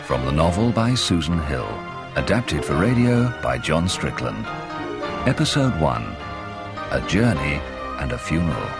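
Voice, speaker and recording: A man's voice: very deep voice, very animated, a little slow, gravelly. A man reading a scripted radio drama title announcement. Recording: loud music under the voice. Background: loud background music.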